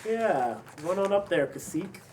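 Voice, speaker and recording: A man plays a sardonic housemate in a script table read. His voice is a bit high for a man and comes from some way off the mic, with faint noise. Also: animated, moderate pace, a little mellow, far from the mic.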